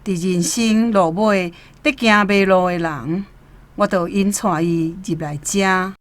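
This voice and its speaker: An actress with a Taiwanese accent playing a dutiful, stern servant guide in a radio drama, speaking formally. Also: female voice, lowish voice, steady tone, slow pace.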